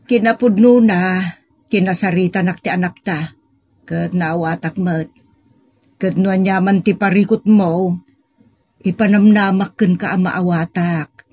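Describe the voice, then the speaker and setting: A woman's voice: medium pitch, darkish tone, breathy edge. A woman playing a patient, devout older wife in an audio drama, earnest and coaxing, with an Ilocano accent. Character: patient, devout older wife counseling her troubled husband. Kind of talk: Filipino audio drama acting.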